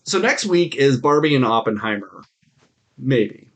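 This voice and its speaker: A man's voice: mid-range voice, sing-song, unhurried, a bit bright. A man co-hosting a podcast in casual, jokey chat about films and TV, often acting out mock quotes. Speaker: podcast host.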